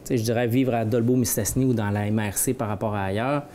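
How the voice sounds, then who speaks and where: Québécois local guy chatting easy, low voice, dark mellow tone, lots of euh. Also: fast, slight background noise. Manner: relaxed, plain-spoken, with many fillers. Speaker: local resident. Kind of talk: casual interview answers.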